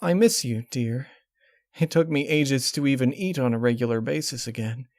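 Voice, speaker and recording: Guy doing a upset girl pony princess, pitched way up, pleading n controlling, storybook style. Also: normal speed, darkish tone, no room sound, clean studio sound, natural voice. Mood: tearful longing. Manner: pleading, emotionally strained delivery. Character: distraught, controlling young female pony princess, tearful and critical. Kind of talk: dramatic storybook character reading.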